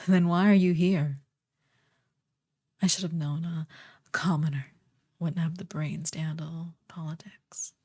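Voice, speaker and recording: A woman playing a haughty, sardonic evil sorceress queen in a soft, breathy, imperious voice for a fantasy ASMR roleplay. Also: fairly low pitch, exaggerated voice. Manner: imperious, theatrically disdainful, drawn-out delivery.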